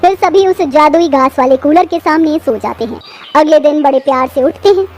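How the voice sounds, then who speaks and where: Very high-pitched female story narrator. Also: normal speed, a little mellow, a little hiss or hum. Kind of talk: scripted children's story narration in Hindi.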